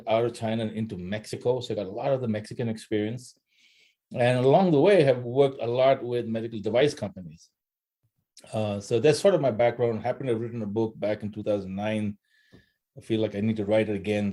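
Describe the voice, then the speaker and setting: Man, lisp, soft mellow tone, medium pitch, halting conversational presentation, rhetorical questions. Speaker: guest speaker, supply-chain salesman. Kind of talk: informal presentation on a live call. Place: online video call.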